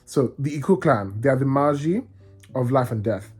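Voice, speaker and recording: Excitable man gushing about books. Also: mid-range voice, normal speed, breathy edge, faint music behind. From Nigeria. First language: Yoruba. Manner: excitable, gushing, rambling with restarts. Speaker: book vlogger. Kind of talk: casual reading vlog chat.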